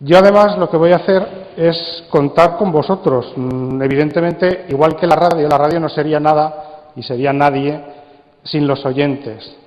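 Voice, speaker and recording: A man with a Spanish accent from Spain addresses an audience as a congress speaker. His voice sits slightly high and his delivery is playful and theatrical. The sound is somewhat dull, with long hall reverberation and faint noise. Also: fairly even intonation, moderate pace, a little mellow. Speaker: speaker and coordinator at a radio drama congress. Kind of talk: live talk to an audience, interactive presentation. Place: large indoor congress hall.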